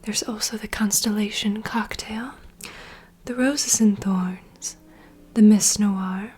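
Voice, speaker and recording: A woman playing a poised, courteous vampire bartender in a whispered ASMR roleplay, unhurried and softly teasing. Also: medium pitch, fairly even intonation, slightly rough. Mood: proud, inviting. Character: poised, welcoming female vampire bartender, proud mixologist.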